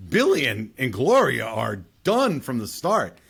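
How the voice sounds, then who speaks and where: A man hosts a casual film discussion in a medium-pitched voice with lively swings. He is opinionated and emphatic, and circles back to hammer his points. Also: unhurried, gravelly, husky. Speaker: film podcast host.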